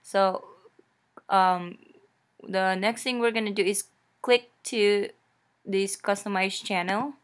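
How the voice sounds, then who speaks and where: A woman's voice: mid-range voice, steady tone, slow, long pauses. A young woman whose first language is Filipino, chattily narrating a screen-recorded tutorial with many fillers and restarts. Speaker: YouTube tutorial host.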